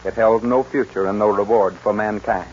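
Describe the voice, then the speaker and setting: A man's voice: on the low side, steady tone, normal speed, husky. A man announcing and narrating a 1940s western radio show in formal, grandiose showman phrasing. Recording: dull 1940s radio recording.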